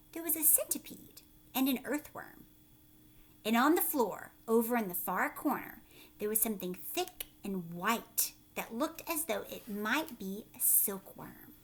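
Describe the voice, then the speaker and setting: Animated, higher-voiced woman reading to children. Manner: expressive, dramatic pauses, explains to young listeners. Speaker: teacher reading a children's book aloud. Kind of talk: animated read-aloud with questions to children.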